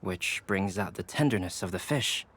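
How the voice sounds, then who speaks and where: A man playing a courteous, composed food lover in a video game, speaking in a polite, measured, articulate way. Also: low-pitched, animated, a bit bright, slight background noise. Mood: pleasant, composed.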